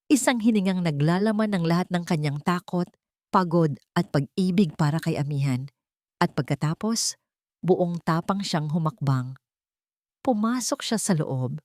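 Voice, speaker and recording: A woman's voice: lowish voice, a little mellow, no echo, clean studio sound. A woman reading a dramatic scripted story as its narrator.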